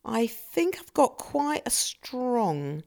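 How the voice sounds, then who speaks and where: A woman's voice: on the high side, big pitch swings, takes it slowly, gravelly, darkish tone. A middle-aged woman in a thoughtful, searching conversation, often restarting her sentences. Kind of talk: reflective casual conversation.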